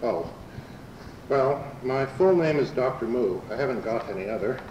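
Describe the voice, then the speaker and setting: A man's voice: mid-range voice, expressive, moderate pace, a little mellow, far from the mic, lo-fi sound, lightly acted. A man playing a mild, earnest bovine space adventurer in an amateur radio comedy, speaking plainly and matter-of-factly. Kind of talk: amateur old-time radio comedy acting. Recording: roomy amateur recording, faint noise.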